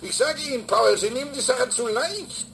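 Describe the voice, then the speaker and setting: A man's voice: very high-pitched, lively intonation, moderate pace, vocal fry, a bit bright, slight background noise, heavily acted. An actor playing a worried, insistent male robotics professor in a radio drama, earnest and admonishing.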